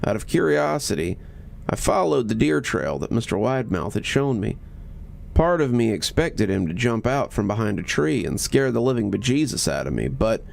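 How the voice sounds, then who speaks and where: Expressive, creaky-voiced male horror narrator. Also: mid-range voice. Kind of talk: dramatic scripted narration.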